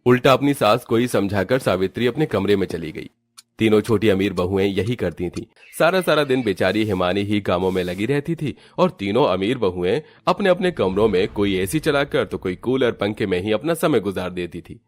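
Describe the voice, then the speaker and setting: Low-pitched male story narrator. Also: expressive, moderate pace. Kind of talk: scripted storybook narration for a Hindi cartoon.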